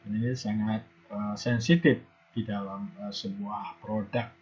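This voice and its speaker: A hoarse man giving an online university lecture, pausing often with fillers, heard over a video call. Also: fairly low pitch, fairly even intonation, darkish tone. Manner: hesitant, many filler pauses. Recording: dull lo-fi video call audio.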